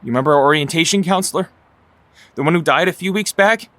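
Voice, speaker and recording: A man's voice: on the high side, fast, a bit bright, no echo, close to an everyday voice. A man playing an earnest, defiant young superhero vigilante who justifies himself, in an audio drama. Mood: earnest, defensive.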